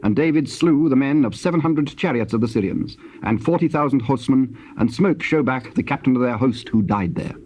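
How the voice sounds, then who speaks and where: A man with a British accent narrating scripture in a solemn, measured storytelling style. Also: mid-range voice, darkish tone. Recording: dull, hissy old cassette tape.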